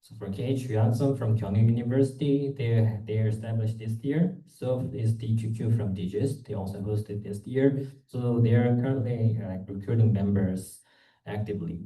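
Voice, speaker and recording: Young man, low dark voice, halting informal conference presentation, distant echoey recording. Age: young adult. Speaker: student club executive presenting at a conference. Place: indoor conference room. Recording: echoey room, distant microphone.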